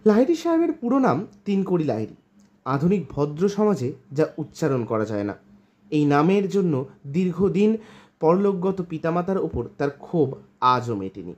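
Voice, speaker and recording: A woman reading a literary short story aloud in an expressive storytelling style. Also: deep voice, moderate pace. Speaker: story reader.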